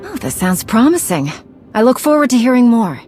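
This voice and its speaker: Friendly female commander, highish voice, very animated intonation, video game hero. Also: fairly quick, faint music behind. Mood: interested, friendly.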